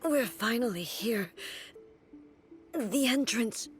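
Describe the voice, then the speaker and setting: A woman playing a weakened but resolute woman ruler in a video game, speaking in a halting, solemn, formal way. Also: a little high, expressive, soft background music.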